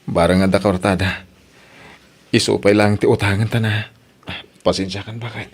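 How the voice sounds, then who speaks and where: A man's voice: lowish voice, fairly even intonation, moderate pace, breathy edge. A man playing an apologetic, hard-drinking husband and son in an Ilocano radio drama. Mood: sheepish, regretful.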